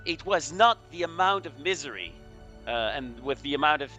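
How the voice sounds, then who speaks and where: Male game commentator, bright and a little high-pitched, casual and searching for words. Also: lively intonation, normal speed. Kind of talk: casual historical chat over gameplay. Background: music.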